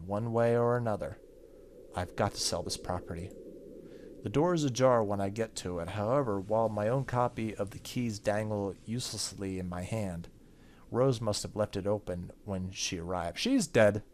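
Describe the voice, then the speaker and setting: A man with a fairly low voice hosts a let's play of a story game. He reads narration aloud and drops in casual, joking commentary as he goes. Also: moderate pace, slight background noise. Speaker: gaming video host.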